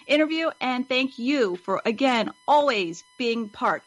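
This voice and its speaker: A woman's voice: on the high side, expressive, measured pace. A woman co-hosting a fan web show in casual chat, with a rambling, informal, upbeat manner.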